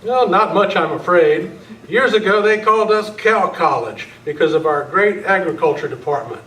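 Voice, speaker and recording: A man's voice: high voice, lively intonation, normal speed, a bit bright. A man playing a plain-spoken, matter-of-fact campus security chief in an amateur radio script reading. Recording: roomy, distant camera mic, faint noise.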